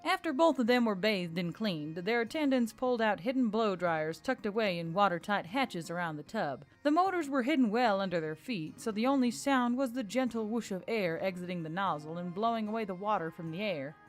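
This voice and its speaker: A woman's voice: mid-range voice, animated, brisk, few pauses. A woman reading a scripted fantasy story as narrator. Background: music.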